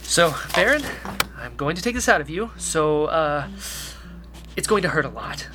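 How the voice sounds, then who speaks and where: A woman's voice: deep voice, very animated, moderate pace, pushed and bright, slight background noise, exaggerated voice. A woman playing an earnest, anxious young rebel agent and makeshift medic, speaking hesitantly in a sci-fi audio drama. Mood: focused, reassuring.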